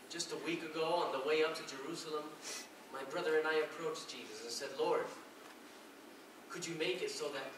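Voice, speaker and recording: A man playing an earnest, humble disciple of Jesus in a live stage monologue, telling his story deliberately with pauses. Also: on the high side, lightly acted. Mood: earnest, proud. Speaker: actor in a church drama. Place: large echoey indoor space, mic at a distance. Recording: very echoey hall, distant mic, hissy noise.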